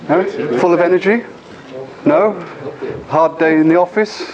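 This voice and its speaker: A man's voice: sits high, a little slow, lo-fi sound, a crowd in the background. A middle-aged man from England with an English accent, giving a conference talk and often checking in with the audience. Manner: engaging, asks the audience frequent questions. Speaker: conference speaker. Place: conference room.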